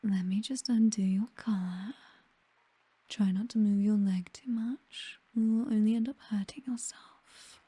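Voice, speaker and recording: A woman acts out a seductive British vampire mistress for an ASMR roleplay. Her voice is a very breathy, dark whisper, unhurried and hypnotic. She is possessive and commanding, yet tender as she coaxes. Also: mid-range voice, fairly even intonation, dry recording. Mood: gentle, possessive. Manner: slow, coaxing, hypnotic, teasingly commanding.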